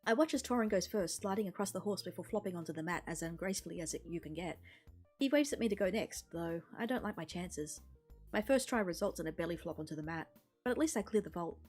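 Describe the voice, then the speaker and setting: A woman streamer reading a visual novel aloud in an expressive storytelling manner. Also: lowish voice, quick pace. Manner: expressive storytelling with character voices. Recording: music behind the voice. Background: music.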